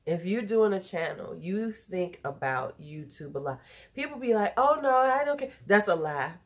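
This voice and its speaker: A woman's voice: mid-range voice, expressive, moderate pace. A woman in her forties with a stuffy, nasal voice, losing her voice, chatting loosely and drifting off topic in an unedited beauty vlog from home. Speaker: beauty YouTuber.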